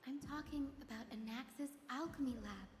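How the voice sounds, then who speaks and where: A woman voicing a gentle, polite and curious young female healer in scripted video game dialogue. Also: high voice, normal speed, a little hiss or hum, close to an everyday voice. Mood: helpful, coaxing. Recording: echoey game audio with loud music behind.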